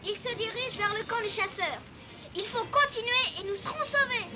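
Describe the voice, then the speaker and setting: A woman's voice: extremely high pitch, moderate pace. A woman voicing an earnest young boy elephant who rallies others in a French cartoon. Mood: resolute. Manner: earnest, rallying, declarative. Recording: loud music behind the voice.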